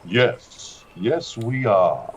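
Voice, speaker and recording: A man voicing a solemn male seer who speaks of the future in slow, grand pronouncements. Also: fairly low pitch, sing-song, dull audio, slight background noise, pitched below the performer's own voice, full character voice.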